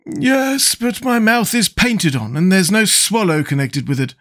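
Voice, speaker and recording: A man's voice: sits high, very animated, unhurried, fairly bright tone, voice pushed up, full character voice. A man voicing an earnest, fussy, critical living scarecrow in a storybook. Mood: critical, explaining. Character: living male scarecrow, critical, protesting, humble about himself.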